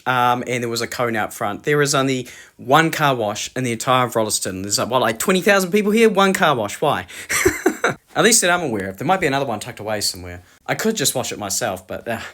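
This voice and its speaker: A man in his thirties with a New Zealand accent, narrating a casual daily vlog as a single dad, his voice low and rough from a cold, laid-back and self-mocking with frequent chuckles. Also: a bit bright, dry recording, studio quality.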